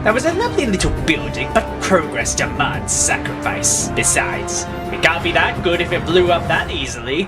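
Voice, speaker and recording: Man narrating superhero drama over music. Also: a little high. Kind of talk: scripted dramatic present-tense narration.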